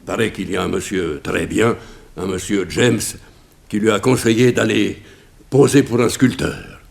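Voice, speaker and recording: A man's voice: on the low side, fairly even intonation, unhurried, creaky. An actor playing an anxious, strict middle-aged immigrant father in a 1960s French radio drama, hesitant and stumbling over his words. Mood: stern, indignant.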